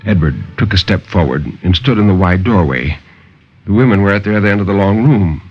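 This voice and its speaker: A man's voice: on the low side, lively intonation, brisk, slightly rough, faint music behind. A man narrating as a storyteller in dramatic 1950s radio horror style. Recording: muffled 1950s radio broadcast.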